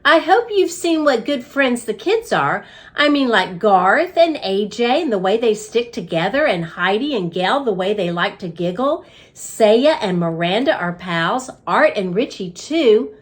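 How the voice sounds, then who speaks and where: A woman voicing a small, friendly, excitable male pet hamster in a children's storybook. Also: medium pitch, unhurried. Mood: eager.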